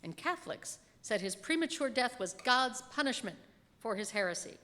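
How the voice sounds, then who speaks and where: A middle-aged woman with a fairly high voice delivers a scripted sermon into a lectern microphone. Her phrasing is measured and emphatic, and the room around her leaves a long reverb on the words. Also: big pitch swings, fairly bright tone. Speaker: lay reader delivering a written sermon. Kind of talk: sermon read aloud from a script. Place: church pulpit in a large reverberant room. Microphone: gooseneck lectern microphone. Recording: reverberant hall sound.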